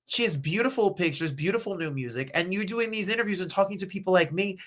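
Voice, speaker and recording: A man's voice: high-pitched, fast talker, a bit bright. An American man hosting a casual interview, effusive and encouraging, often rephrasing his questions as he goes. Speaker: interview host.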